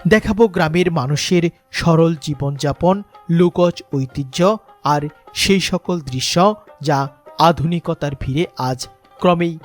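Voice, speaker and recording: A Bangladeshi man reading scripted, sentimental documentary narration in a measured, lyrical way. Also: a little high. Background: background music.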